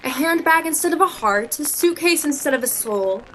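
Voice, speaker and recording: A haughty young shop woman in an old-time radio romantic comedy acted by a woman. Her voice sits high and swings widely in pitch as she delivers cutting, scornful remarks with theatrical polish. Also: expressive, normal speed, fairly bright tone, a little hiss or hum, rough recording. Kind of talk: vintage radio play acting.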